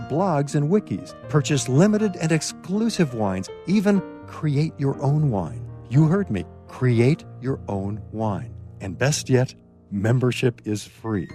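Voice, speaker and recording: A man's voice: mid-range voice, dark tone. A man reading a conversational scripted commercial as an announcer. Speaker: advertisement announcer.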